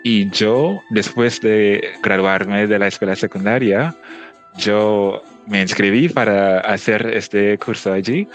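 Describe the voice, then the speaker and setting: A young man from Trinidad and Tobago, a native English speaker, answering interview questions thoughtfully with many hesitant fillers. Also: on the low side. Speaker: interview guest. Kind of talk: casual interview.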